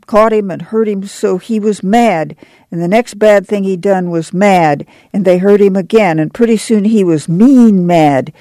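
A woman's voice: on the low side, mellow, no echo, lightly acted. A woman voicing an earnest, protective middle-aged farm mother, homespun and confiding, in an audiobook. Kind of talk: audiobook character acting.

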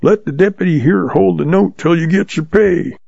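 A man voicing a wily old male cowboy with a calm, slow Western drawl. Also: a little high, gravelly, slightly rough, dry recording, dull audio, voice pushed up, exaggerated voice. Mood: calm, sly.

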